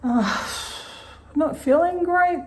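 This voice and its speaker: A middle-aged Australian woman with a slightly croaky voice, chatting casually through her day in a home vlog and thinking aloud with soft laughs. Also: extremely high pitch, slow pace. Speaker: vlogger. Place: home kitchen.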